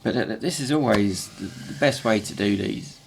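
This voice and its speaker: Man, croaky morning voice, halting repeated words, casual tutorial talk, faint workshop hum behind. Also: fairly low pitch, poor audio.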